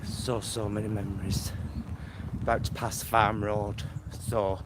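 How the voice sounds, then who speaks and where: A man's voice: on the low side, little pitch movement, unhurried. A middle-aged man with a Greater Manchester accent, chatting casually and rambling in a slightly camp way as he walks and films himself. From England. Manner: chatty, rambling, campy endearments. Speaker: vlogger. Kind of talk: casual chat to camera while walking. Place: outdoors, walking along a suburban road. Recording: loud outdoor street and traffic noise.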